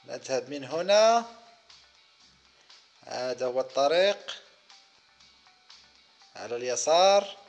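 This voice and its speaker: A man hosting a children's gaming video, giving simple commentary in short, clear-cut phrases for young viewers. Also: medium pitch, expressive, slow, pauses often, faint music behind. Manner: counts aloud, speaks in short simple phrases.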